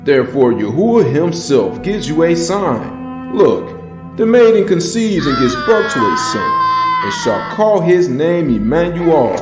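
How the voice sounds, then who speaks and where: A man's voice: a little high, big pitch swings, unhurried. A man narrating a dramatized Bible reading in a solemn, declamatory and emphatic manner. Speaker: scripture narrator. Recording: echoing voice over background music. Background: background music.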